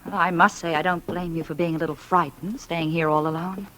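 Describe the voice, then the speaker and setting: A woman's voice: fairly low pitch, steady tone, fairly quick, fairly bright tone, slight background noise, lightly acted. An actress playing an effusive, chatty, easily shocked woman friend in a 1940s radio thriller style. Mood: uneasy, prying. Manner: effusive, exclamatory, fussing.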